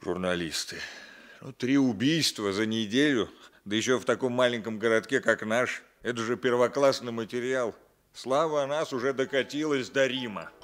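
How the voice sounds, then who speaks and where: An actor playing a patient, insistent police captain, measured and probing, in a 1960s Soviet radio drama. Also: male voice, medium pitch, fairly bright tone, close to an everyday voice. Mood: wry.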